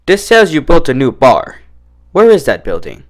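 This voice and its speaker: A man's voice: fairly high pitch, wildly swooping pitch, normal speed, voice pushed up. A man voicing a polite, businesslike male pony inspector in a cartoon story, courteous and formal. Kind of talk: cartoon fan fiction character reading.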